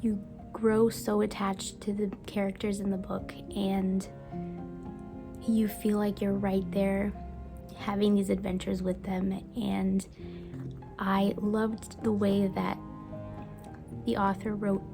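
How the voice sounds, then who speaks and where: Slow, gravelly-voiced young woman vlogger. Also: medium pitch, flat delivery. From Brazil. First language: Portuguese. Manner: gentle, drops into soft whispers. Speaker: book vlogger. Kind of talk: casual book review vlog. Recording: music playing behind the voice.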